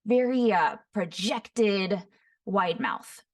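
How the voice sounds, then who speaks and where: A woman voice actor teaching voice impressions, describing each voice in short phrases and often breaking into quick character demos. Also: medium pitch, lively intonation. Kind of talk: voice impression tutorial. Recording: voice somewhat far from the mic.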